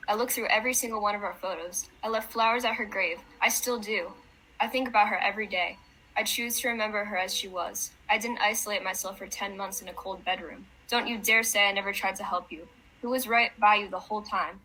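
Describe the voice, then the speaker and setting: Woman acting an exasperated wife, bright forceful voice. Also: mid-range voice, fairly even intonation, fairly quick, rough recording. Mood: hurt, defensive. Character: exasperated, grieving wife and mother at breaking point. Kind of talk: radio drama acting.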